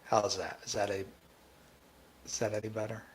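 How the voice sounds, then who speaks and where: A man hosting a live coding tutorial, thinking aloud in a halting, informal way and often restarting his sentences. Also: fairly low pitch, flat delivery, slight background noise, rough recording. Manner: halting, self-interrupting, informal. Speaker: livestream host, programming instructor.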